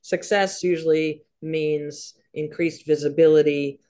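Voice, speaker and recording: A woman hosting an interview, warmly encouraging and enthusiastic, often laughing and affirming her guest in casual chat. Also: low-pitched, fairly even intonation, measured pace. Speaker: podcast host.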